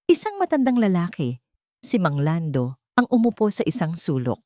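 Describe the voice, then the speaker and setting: Low-pitched woman narrating a dramatic story. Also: wildly swooping pitch, moderate pace, warm tone, no echo, studio quality.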